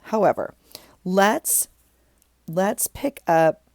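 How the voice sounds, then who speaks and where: A woman's voice: lowish voice, lively intonation, very slow, darkish tone, no room sound, clean studio sound. A middle-aged woman with a stuffy nose, chatting casually as she works on a craft project, thinking aloud with many ums and restarts. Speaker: craft vlogger.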